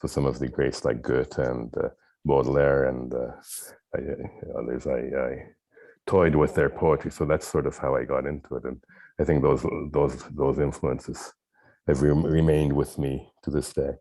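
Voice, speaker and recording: A poet whose first language is Hungarian, speaking hesitantly with many restarts on a discussion panel. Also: male voice, rumbling low, flat delivery, normal speed, dark tone. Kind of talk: panel discussion after a reading.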